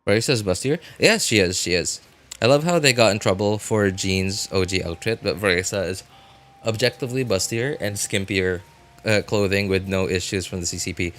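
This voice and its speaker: Guy streaming a game, kinda low voice, chatting casually, rambles and stops mid sentence to react to stuff. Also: fairly bright tone, soft background music. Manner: rambling, halting, often breaking off mid-thought to react. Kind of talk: casual live gameplay commentary.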